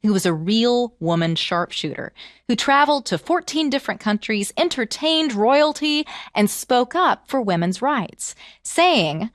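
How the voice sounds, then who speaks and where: A woman telling folk tales as a presenter, with expressive, theatrical, emphatic phrasing. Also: fairly high pitch, dry recording, clean studio sound. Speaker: storyteller and presenter. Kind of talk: animated storytelling narration of American folklore.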